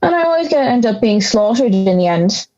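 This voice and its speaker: A woman's voice: on the high side, big pitch swings, fairly quick, mellow, highs cut off. A woman playing an aggrieved, misunderstood big bad wolf in an audio drama, ranting with sarcastic indignation. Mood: bitter.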